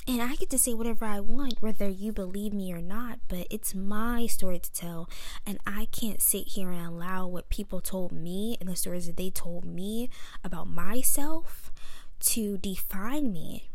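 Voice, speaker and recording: A woman in her twenties with a high, girlish voice, talking alone into a microphone in her bedroom. She speaks candidly and with emphasis, often dropping into whispers. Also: normal speed, darkish tone. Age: 20s. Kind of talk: personal monologue. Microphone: microphone in her room.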